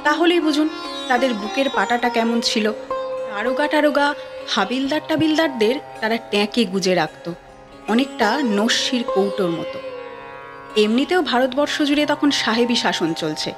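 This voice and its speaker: A woman tells a ghost story with wry, lively scripted narration. Her voice sits a little high. Sitar music plays clearly beneath her. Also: moderate pace. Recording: sitar music bed behind.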